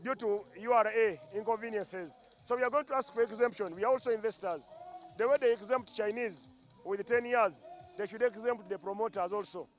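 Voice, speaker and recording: A man's voice: sits high, brisk, soft background music. A man speaking outdoors into a handheld mic, a promoter making firm demands. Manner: declarative, demanding.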